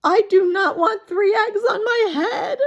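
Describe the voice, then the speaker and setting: Woman doing a storybook elephant, male, fussy, worried, loud, high, swooping. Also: sing-song, slow, vocal fry, full character voice. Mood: upset.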